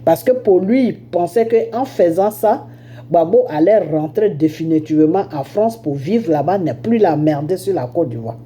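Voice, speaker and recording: A woman's voice: fairly low pitch, lively intonation, normal speed, mellow, slight background noise. A woman with an Ivorian accent and a head cold, giving an informal political monologue as a storyteller who punctuates it with rhetorical questions and check-ins. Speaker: online political commentator.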